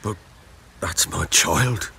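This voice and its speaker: A man voicing a guilt-ridden nobleman father in a video game, with a heavy, gravelly voice and a weighty manner. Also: low voice, slight background noise, heavily acted. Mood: remorseful. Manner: slow, weighty. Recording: loud music, rain and thunder behind.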